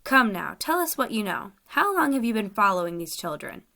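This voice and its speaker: A woman's voice: medium pitch, expressive, normal speed, bright, forceful tone. A woman voicing a stern, commanding uncle who questions a prisoner severely. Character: stern commanding older uncle, boat captain.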